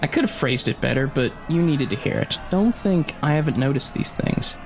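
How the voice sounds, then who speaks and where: A man's voice: a little high, lively intonation, moderate pace, creaky, over music. A man playing a talkative, upbeat young drifter and loyal best friend in a post-apocalyptic audio drama, cheeky and earnest. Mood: earnest urging.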